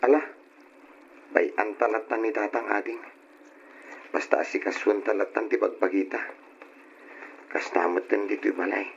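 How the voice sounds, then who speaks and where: A man playing a responsible young older brother in a radio drama, speaking in a gentle, advising way. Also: fairly low pitch, steady tone, normal speed, pauses often, lightly acted. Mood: caring, instructing. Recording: dull, noisy old radio drama recording.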